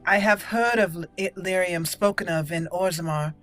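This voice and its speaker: A woman's voice: on the low side, moderate pace, a bit bright, faint music behind. A woman hosting a roleplay let's play, chatting casually and playfully as she thinks aloud. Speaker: game roleplay channel host.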